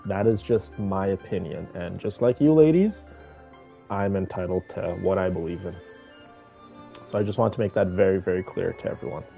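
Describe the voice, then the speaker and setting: Low-voiced man streaming, muffled mic. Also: moderate pace, creaky. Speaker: live streamer reacting to a game. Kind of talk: casual chat and reaction commentary to viewers. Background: game music.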